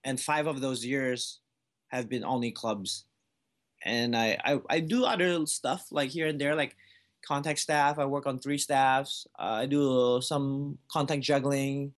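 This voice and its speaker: A man from Malaysia in his thirties, a juggler answering interview questions. He talks in an easygoing, casual way, full of "like" fillers and small restarts, at a middle pitch. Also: fairly even intonation, a little slow. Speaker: juggler, guest.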